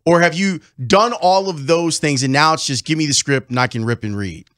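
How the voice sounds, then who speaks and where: A man's voice: a little high, brisk, fairly bright tone, dry recording. A middle-aged man hosting a sports radio show, asking a studio guest curious, leading questions. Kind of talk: radio interview. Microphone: studio mic with headphones.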